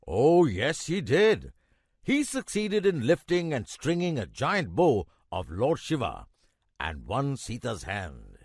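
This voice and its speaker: A man playing a kindly old grandfather in a children's cartoon, narrating a legend in a measured, expressive way with emphatic pauses. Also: mid-range voice, husky, dry recording, clean studio sound, exaggerated voice. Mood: patient storytelling.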